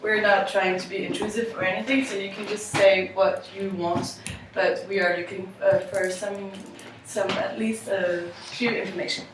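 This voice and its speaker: A woman moderating a focus group, speaking haltingly with many restarts and fillers. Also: mid-range voice, flat delivery, background noise. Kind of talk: role-played focus group introduction. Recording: echoey room, far from mic.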